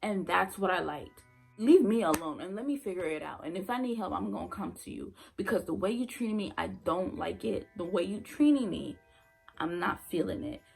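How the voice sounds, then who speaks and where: A woman's voice: on the low side, lively intonation, normal speed, creaky, darkish tone, soft background music. A young woman, a former nursing assistant, telling her story in a casual vlog, blunt and emphatic, repeating her points for effect.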